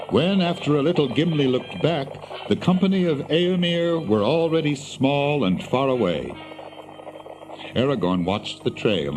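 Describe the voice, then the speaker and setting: Male narrator reading fantasy story, very expressive, medium pitch, noisy background. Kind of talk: dramatic scripted storybook narration.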